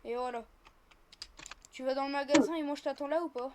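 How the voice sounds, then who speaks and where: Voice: high voice, steady tone, fairly quick, noisy background. A female speaker, casually improvising a roleplay while gaming and chattily narrating each action. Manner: chatty, narrates own actions as they happen. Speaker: host of gaming roleplay videos.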